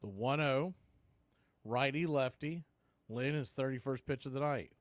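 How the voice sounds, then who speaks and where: A man's voice: medium pitch, measured pace, no room sound. A man working as a baseball announcer, giving live play-by-play commentary mixed with score updates in clipped phrases.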